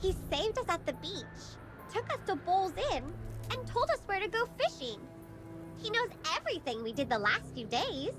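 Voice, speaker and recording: High feisty young adventurer. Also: female voice, sing-song, normal speed. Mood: indignant. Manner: feisty, indignant. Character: spirited young woman adventurer, bold and proud. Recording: game voice with music behind.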